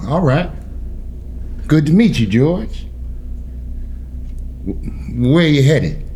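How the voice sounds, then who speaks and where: Man acting an animated, plain-spoken trucker. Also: fairly high pitch, a little hiss or hum, heavily acted. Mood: friendly. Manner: casual, halting, colloquial. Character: friendly, plain-spoken truck driver, curious and apologetic. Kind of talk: screenplay table read.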